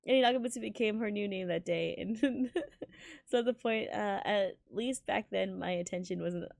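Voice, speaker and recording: Donna che legge, suono asciutto e ravvicinato. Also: female voice, mid-range voice, vocal fry, darkish tone, no room sound, studio quality. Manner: giggly, halting read-aloud with stumbles. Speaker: game streamer. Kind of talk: live stream read-aloud of a visual novel.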